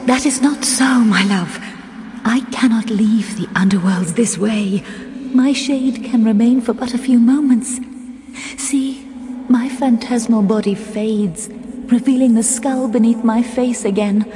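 An actress playing the sorrowful ghost of a dead elf lover, solemn and mournful, in an audio drama. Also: female voice, fairly high pitch, unhurried, mellow, lightly acted. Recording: long reverb, faint ambient noise.

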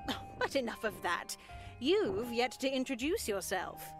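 A woman voicing a stern, pious elderly nun in a visual novel game, measured, formal and sanctimonious. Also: on the high side, big pitch swings, soft background music. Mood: coolly polite.

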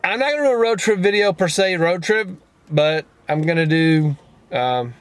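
A man's voice: high voice, moderate pace, no echo. A man in his fifties chatting casually to a vlog in a rambling, wry, self-deprecating way, recorded in a car. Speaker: vlogger. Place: inside a parked or moving car.

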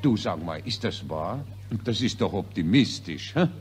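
An actor playing a chatty, muddled Austrian officer with a Viennese accent in a 1940s radio play. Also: male voice, low voice, animated, normal speed. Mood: muddled, jovial. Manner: bumbling, questioning, self-correcting. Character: chatty, dim-witted Austrian army officer, easily muddled.

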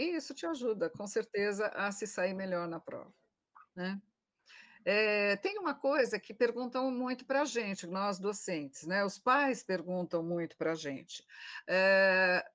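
A middle-aged woman with a Brazilian Portuguese accent, a professor giving measured, reassuring advice on a video call from home. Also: mid-range voice, fairly bright tone, dry recording. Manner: measured, advising, repeats reassurances. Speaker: nursing professor. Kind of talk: advice in a live video panel.

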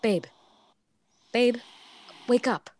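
A woman's voice: fairly high pitch, very slow, a little mellow, slight background noise. A woman playing a dreamy, slightly vain young wife in a modern radio drama. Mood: impatient.

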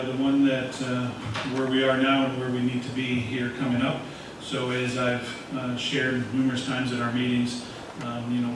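A man giving a halting, wordy presentation as an official at a public meeting. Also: mid-range voice. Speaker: town official presenting a project to a board. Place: municipal board meeting room, indoors. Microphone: distant camera microphone. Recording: echoey meeting room, distant mic, clear noise.

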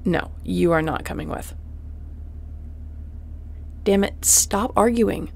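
A woman playing a tough, wry, protective space mercenary captain in a sci-fi audio roleplay, terse and commanding, often hushed. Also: medium pitch, slow pace, gravelly. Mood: urgent, tense.

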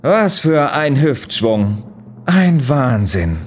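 A man playing a gushing, leering TV game show host in an audio drama, with theatrical, drawn-out showman delivery. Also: mid-range voice, big pitch swings, over music. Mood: teasing, excited. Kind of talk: German audio drama acting.

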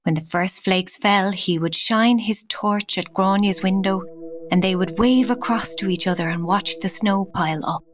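A woman's voice: medium pitch, moderate pace, faint music behind, natural voice. A woman with an Irish accent playing the narrator of a children's tale in scripted storytelling. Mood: calm.

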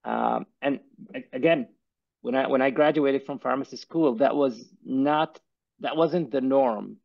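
A man whose first language is Arabic talks earnestly about his work as a pharmacist, often stumbling and repeating words as he goes. His voice carries a gravelly edge, and the sound is dull and lo-fi, coming through a headset on a video call. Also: mid-range voice, a little slow. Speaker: pharmacist and pharmacy owner, guest. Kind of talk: conversational interview. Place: office.

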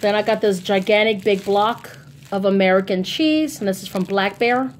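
Casting: female haul vlogger, mid-pitched voice, informal, rambling, chatty delivery. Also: moderate pace, a little hiss or hum. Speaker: vlogger showing shopping hauls. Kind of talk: casual haul vlog chat.

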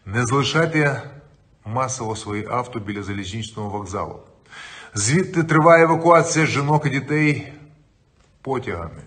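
A man's voice: medium pitch, expressive, normal speed, fairly bright tone. A middle-aged man, a city mayor, giving a firm official video briefing to residents from his office desk into a webcam. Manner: firm, emphatic, informative. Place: indoor office with flags.

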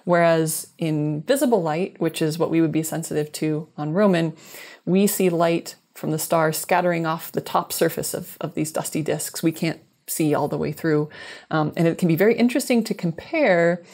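A woman's voice: low voice, moderate pace, a little mellow. A woman in her thirties speaking as a scientist guest in an interview, explaining technical things in a thoughtful, careful way. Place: small room indoors, video call. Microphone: wired earbuds headset on video call.

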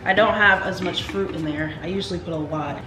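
A young woman vlogging from her home kitchen, chatting playfully to viewers as she makes a smoothie. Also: lowish voice, fairly quick. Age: young adult. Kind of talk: casual vlog chat to camera. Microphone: camera on the counter. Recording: echoey kitchen with household noise.

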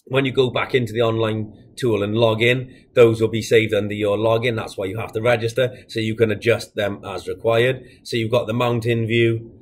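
A man in his thirties giving a casual software tutorial, explaining each step in a plain, informal way as a product demonstrator. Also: lowish voice, level tone, normal speed, a little hiss or hum. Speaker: product demonstrator for a security equipment supplier.

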